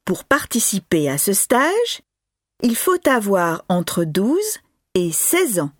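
Woman exam reader, slow, deliberate, dry booth. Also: medium pitch, wildly swooping pitch, no room sound. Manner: slow, deliberate, clearly articulated. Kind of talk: scripted informational reading.

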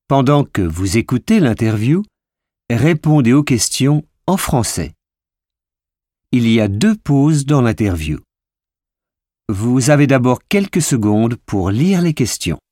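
Slow, lively-pitched male exam narrator. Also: dry recording, studio quality. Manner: measured, clearly articulated reading. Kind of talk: scripted test instructions.

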